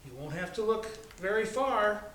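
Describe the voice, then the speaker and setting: A man voicing a calm, quietly firm farm mother in a storybook, measured and gently instructing. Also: high voice, pitched above the performer's own voice. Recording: echoey, distant, noisy room recording.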